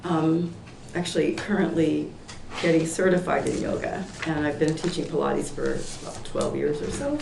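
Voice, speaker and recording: A hoarse woman in her sixties who is losing her voice. She speaks at a public hearing and rambles with fillers and restarts. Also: low voice, fairly even intonation, moderate pace. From Portland, Oregon, US. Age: 60s. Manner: rambling, many fillers and restarts, laughs lightly. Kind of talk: informal testimony at a town meeting. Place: town meeting room. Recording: echoey hall, far from the mic, faint noise.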